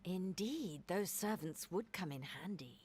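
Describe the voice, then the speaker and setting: Terse, no-nonsense adventurer. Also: female voice, on the low side, animated, measured pace, a little mellow, husky. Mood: brisk, wary. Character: brisk, no-nonsense female adventurer. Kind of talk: scripted fantasy game dialogue.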